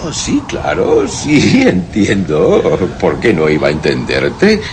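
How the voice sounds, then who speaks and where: A tipsy, mocking man in a Castilian Spanish radio horror play. His slurred words come out extremely slowly, with pitch lurching up and down. The recording is clearly noisy. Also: wildly swooping pitch, exaggerated voice.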